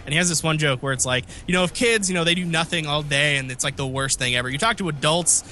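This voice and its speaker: A man co-hosting a casual podcast, telling rambling stories with a slightly phlegmy, raspy voice. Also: fairly high pitch, fast, bright, forceful tone, a little hiss or hum. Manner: rambling, self-interrupting storyteller. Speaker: podcast co-host. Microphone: remote call mic.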